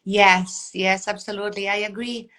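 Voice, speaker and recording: Bright upbeat woman from Argentina. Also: mid-range voice, steady tone, normal speed. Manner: earnest and upbeat, with many fillers. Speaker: guest, life coach. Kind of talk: casual video interview.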